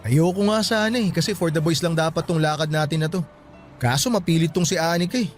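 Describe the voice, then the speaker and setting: A man's voice: a little high, fast, faint music behind, close to an everyday voice. An actor playing a playful, teasing young man who grumbles and banters with friends in a Filipino radio drama.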